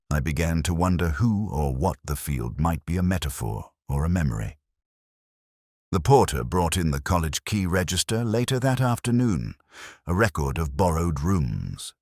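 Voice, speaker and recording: A man playing a melancholy, literary police detective, recounting a case in a measured, solemn, reflective way as scripted audio mystery narration. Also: rumbling low, no room sound, clean studio sound, full character voice.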